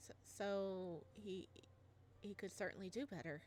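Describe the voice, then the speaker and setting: A woman's voice: medium pitch, steady tone, unhurried, darkish tone, a little hiss or hum, close to an everyday voice. Woman voicing a flustered young single father. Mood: jealous. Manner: flustered, stammering when nervous. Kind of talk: reading a visual novel aloud.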